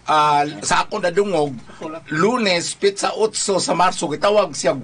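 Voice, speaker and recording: A man's voice: high voice, a little slow, a bit bright, husky. A man with a Visayan Filipino accent, giving casual advice as a talk show co-host.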